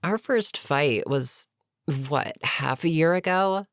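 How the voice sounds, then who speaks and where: A woman playing an older, weary supervillain in an audio roleplay, menacing yet protectively concerned, with a measured, sardonic, sighing delivery. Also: low voice, expressive, no room sound, clean studio sound, heavily acted. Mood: stern, probing. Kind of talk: dramatic audio roleplay monologue.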